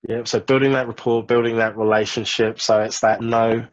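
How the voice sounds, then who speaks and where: A man as a podcast guest, giving relaxed job advice with many fillers in casual chat. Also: mid-range voice, fairly quick, no echo, dull audio. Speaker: guest, job search business director.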